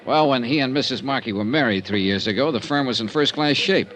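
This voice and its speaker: An actor playing a shrewd, wry, hard-boiled insurance investigator in an old-time radio detective drama, reasoning skeptically. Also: male voice, medium pitch, fairly quick, a bit bright, a little hiss or hum, natural voice. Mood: measured, assured.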